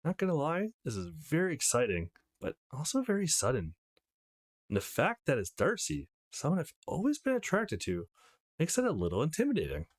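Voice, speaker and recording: A man's voice: on the high side, wildly swooping pitch, fairly quick, no echo, clean studio sound, pitched above the performer's own voice, exaggerated voice. A man voicing an easygoing young male protagonist of a visual novel, casual and wry. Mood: excited, intimidated. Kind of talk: acted visual novel read-aloud.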